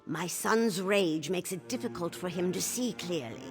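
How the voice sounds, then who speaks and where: An actress playing a shrewd, calculating older orc queen mother in a video game, measured and authoritative. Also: fairly low pitch, soft background music, lightly acted. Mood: cool, calculating. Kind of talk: video game character acting.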